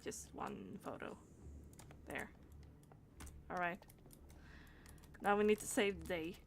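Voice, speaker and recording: A woman's voice: lowish voice, sing-song, takes it slowly, gravelly, slight background noise. A woman doing casual live game commentary, rambling and giggly as she reacts aloud to what happens on screen. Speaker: game streamer.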